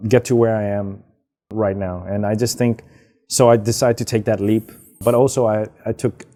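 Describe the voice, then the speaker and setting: A young man from Hong Kong, answering interview questions in a relaxed, rambling way with frequent fillers. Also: mid-range voice. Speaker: guest, business founder. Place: outdoors, karaoke nearby.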